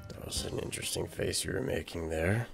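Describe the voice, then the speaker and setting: Man with extremely animated gaming commentary. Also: low voice, fairly quick, vocal fry, soft background music. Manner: informal, playful, chatty. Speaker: host of a gaming video channel. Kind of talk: casual let's play commentary.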